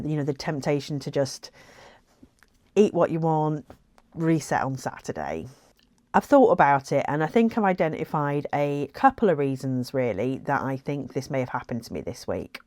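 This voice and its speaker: A woman talks to her camera about her weight-loss progress, chatty and reflective, with plenty of ums and little laughs. Her voice sits low for a woman. The sound is close and dry, with no room ring. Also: darkish tone, dry recording. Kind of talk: casual talking to camera.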